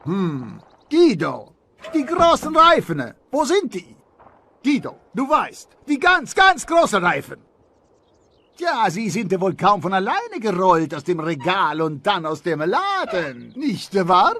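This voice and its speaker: A man voicing an excitable, chatty talking car who runs a tire shop, with a fussy manner, in an animated film dub. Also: sits high, slow, a little hiss or hum, exaggerated voice. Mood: flustered.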